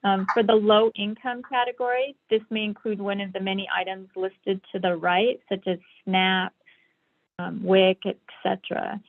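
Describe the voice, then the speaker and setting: Lady walking thru slides on a conference call, tinny phone audio, mid-pitched voice. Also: level tone, moderate pace. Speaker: presenter. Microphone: phone or online call.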